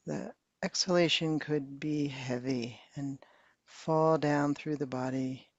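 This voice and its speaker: A woman meditation teacher slowly leading a guided meditation in a soft, calm voice with long pauses. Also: sits low, no room sound, dull audio.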